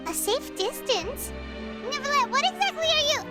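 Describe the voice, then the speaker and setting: Very high-pitched, excitable little fairy. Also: female voice, normal speed, in a large hall. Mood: startled. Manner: chirpy, exclamatory, speaks of self in third person. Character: tiny excitable childlike female fairy companion. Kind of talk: video game voice acting. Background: music.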